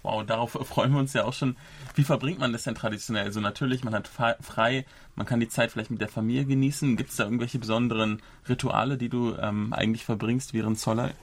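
A man's voice: mid-range voice, steady tone, fast talker. German podcast host in his thirties. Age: 30s. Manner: conversational, explains at length with small restarts. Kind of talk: casual podcast chat.

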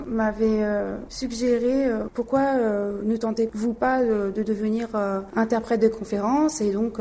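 Hesitant young woman, soft dark tone. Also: mid-range voice, slight background noise. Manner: hesitant, many fillers. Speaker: interpreting student. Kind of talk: interview answers.

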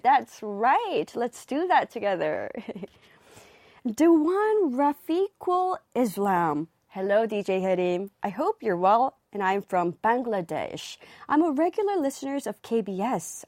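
A woman's voice: on the high side, darkish tone, no echo. A woman DJ hosting a live radio show in the studio, reading out listener messages in a bubbly, nervous way on her first broadcast.

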